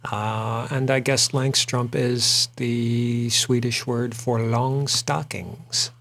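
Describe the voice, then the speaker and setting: A man's voice: mid-range voice, level tone, slow, vocal fry, fairly bright tone. A man hosting a drawing show, chatting casually and rambling a little with frequent fillers while he sketches. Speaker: artist and host.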